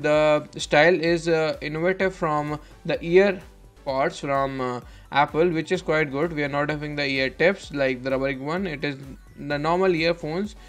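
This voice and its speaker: A young man giving an unscripted product unboxing commentary as a tech vlogger, in a halting way with repeated phrases. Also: fairly high pitch. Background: background music.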